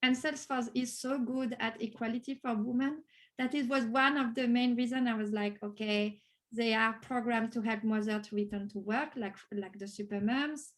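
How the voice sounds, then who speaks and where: Woman, French accent, higher-pitched voice, conversational interview answers. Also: steady tone, moderate pace, poor audio. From France. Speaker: course graduate being interviewed.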